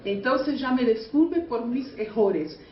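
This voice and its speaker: A woman's voice: fairly high pitch, expressive, a little hiss or hum. Brief: Brazilian woman, Portuguese accent, earnest union speaker, far off in an echoey room. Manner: halting Spanish mixed with Portuguese, earnest. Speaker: union delegate. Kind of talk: conference speech. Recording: echoey, distant, muffled hall recording.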